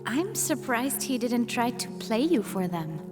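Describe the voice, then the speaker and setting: A woman playing a selfless, unrepentant outcast weaver in a video game, telling her story in plain, measured words. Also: a little high, sing-song. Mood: guarded, frank. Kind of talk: video game cutscene dialogue. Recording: long reverb, music behind.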